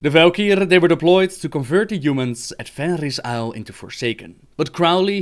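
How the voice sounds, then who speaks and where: A man reading scripted video game lore as a narrator, in a storytelling manner. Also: medium pitch.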